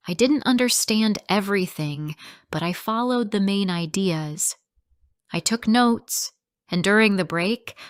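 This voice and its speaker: A woman reading a simple first-person story for English learners, in slow, clearly spoken sentences. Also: lowish voice, dry recording, studio quality.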